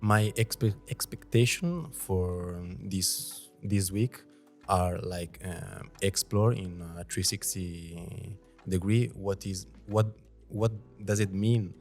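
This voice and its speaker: A man in his early twenties, a design student, answers interview questions haltingly, searching for words. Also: deep voice, creaky, a little mellow, faint music behind. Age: 20s. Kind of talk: casual interview.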